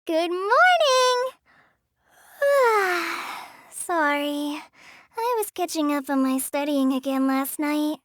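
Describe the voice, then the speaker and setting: A timid young forest ranger girl from a video game, with a very high, lively voice. She hesitates, sighs and apologizes as she talks. The studio recording is dry and close. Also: slow pace, pauses often, no echo, heavily acted. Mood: gentle. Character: shy young female forest ranger apprentice. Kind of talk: game character voice lines. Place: studio booth.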